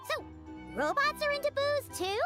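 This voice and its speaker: A woman voicing a tiny, excitable childlike fairy companion in a video game, squeaky and exclamatory. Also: normal speed, loud music behind the voice. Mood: curious. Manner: exclamatory, refers to self in third person.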